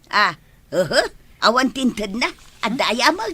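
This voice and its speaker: High-voiced village busybody played by an actress, whiny and exclamatory, Ilocano accent, comedy radio play. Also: female voice, sing-song, takes it slowly, sharp tone, slightly rough, full character voice. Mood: evasive, complaining.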